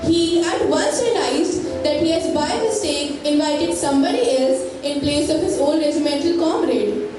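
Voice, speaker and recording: Female play narrator: high voice, scripted delivery, distant sound in a noisy, reverberant hall. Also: normal speed. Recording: echoey hall, distant, clear noise.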